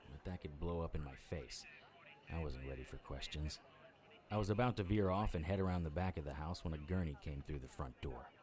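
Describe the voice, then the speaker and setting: Deep-voiced, world-weary investigator. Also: male voice, dark tone. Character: wry world-weary immortal male investigator who looks about forty. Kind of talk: audio drama dialogue and first-person noir narration.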